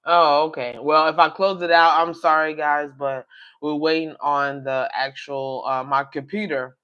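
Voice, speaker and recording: Slightly raspy man, flat lo-fi livestream. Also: fairly high pitch, measured pace. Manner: rambling, talks directly to viewers. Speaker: coin collecting livestream host. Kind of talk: casual livestream chat. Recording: dull lo-fi livestream audio.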